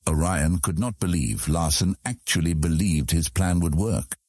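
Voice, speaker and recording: A man with a husky voice reading scripted fantasy narration as an audiobook narrator. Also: deep voice, normal speed, a little mellow, no room sound, studio quality.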